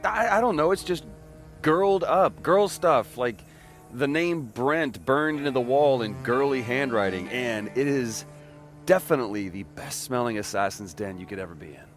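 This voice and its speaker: A man's voice: mid-range voice, lively intonation, moderate pace, soft background music, close to an everyday voice. An actor playing a cocky, wisecracking young man with superpowers in a video game, talking in slangy, irreverent style. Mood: cocky, playful. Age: young adult.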